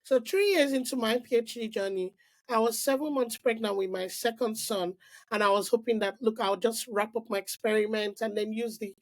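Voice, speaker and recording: A middle-aged woman from Nigeria, a podcast guest telling her story in an animated, casual way with frequent restarts and "like" fillers. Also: on the high side, moderate pace, low-quality recording. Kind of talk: casual interview conversation.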